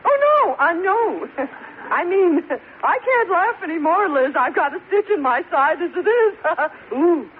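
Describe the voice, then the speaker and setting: An actress playing a gushing, flattering society wife with effusive, drawn-out exclamations in a vintage radio sitcom on an old recording. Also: female voice, very high-pitched, narrow, tinny audio, background noise. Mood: strained cheer. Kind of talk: 1940s-50s radio sitcom acting.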